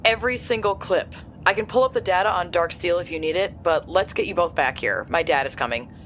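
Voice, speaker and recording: A woman playing an efficient young tech support operator for heroes in an audio drama, brisk and matter-of-fact. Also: fairly low pitch, fairly bright tone, lightly acted. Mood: businesslike. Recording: dull, lo-fi with faint noise.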